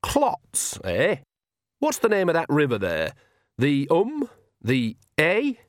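A man voicing a bold, crafty old witch in an audiobook, brisk, colloquial and commanding, with a sly streak. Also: on the high side, wildly swooping pitch, dry recording, studio quality, voice pushed up, full character voice. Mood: sweetly sly.

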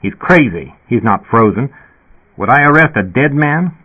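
A man's voice: mid-range voice, animated, normal speed, breathy edge, phone-quality sound, voice pushed up. A man voicing a blunt, exasperated policeman in an audiobook, arguing with incredulity. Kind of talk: audiobook character voice.

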